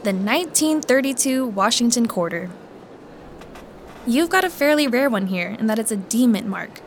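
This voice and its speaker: An actress playing a courteous, careful coin appraiser who explains things politely and in measured phrases in an audio drama. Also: female voice, on the high side, lively intonation, a little hiss or hum. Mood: polite, explaining.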